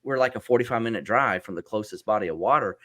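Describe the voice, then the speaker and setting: A man hosting a casual chat over a video call, talkative and quick to laugh, asking his guests questions. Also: lowish voice, fairly bright tone. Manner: chatty, laughs often, asks questions. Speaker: podcast host.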